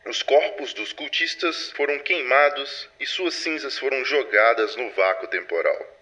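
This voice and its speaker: Man acting a bright, forceful, solemn superintendent. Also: mid-range voice, low-quality recording. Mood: grave, ominous. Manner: solemn, formal, deliberate storytelling. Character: grave male superintendent of a secret paranormal patrol, dictating case files. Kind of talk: scripted audio drama narration.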